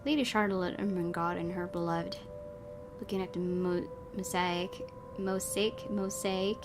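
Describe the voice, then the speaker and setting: A woman's voice: lowish voice, steady tone, unhurried. A woman hosting a let's play, reading a horror visual novel aloud and adding casual commentary. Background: music.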